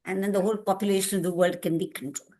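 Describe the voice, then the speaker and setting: A woman's voice: mid-range voice, steady tone, very fast, darkish tone. A woman with an Indian accent chatting in an informal book club discussion.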